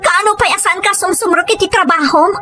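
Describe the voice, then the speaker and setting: A woman's voice: very high voice, fairly quick, sharp tone, faint music behind. A woman playing a dismayed, reproachful hard-working wife with an Ilocano accent, questioning and pleading in an audio drama. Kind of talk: Ilocano comedy drama acting.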